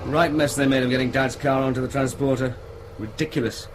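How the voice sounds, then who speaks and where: An actor with an English accent playing an uneasy, probing son-in-law in a naturalistic radio drama, careful and questioning. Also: male voice, mid-range voice, steady tone, brisk, background noise, natural voice. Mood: disapproving, sombre.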